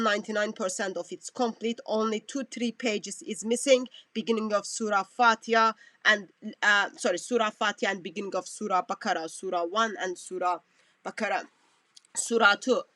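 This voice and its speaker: Halting woman commentator, dry close sound. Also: mid-range voice, fairly bright tone, no room sound. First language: not English. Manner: halting, self-correcting, non-native phrasing. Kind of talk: reaction commentary on a played clip.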